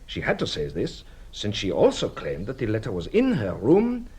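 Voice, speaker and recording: An actor playing a shrewd, courteous police detective in a radio drama, a husky voice and a formal, measured, theatrical manner. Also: male voice, fairly low pitch, huge pitch swings, dark tone, heavily acted. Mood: explaining.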